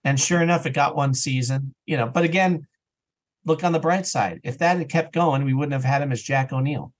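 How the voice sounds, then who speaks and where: A man's voice: mid-range voice, fairly quick. A man speaking as an interview guest on a podcast in a casual, opinionated, emphatic conversational way. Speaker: interview guest, audio drama writer and actor.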